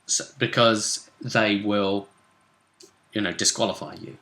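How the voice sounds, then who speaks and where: A man's voice: on the low side, slow pace. A man hosting a tabletop role-play show, chatting casually through a game recap and throwing out rhetorical questions. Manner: animated, conversational, asks rhetorical questions.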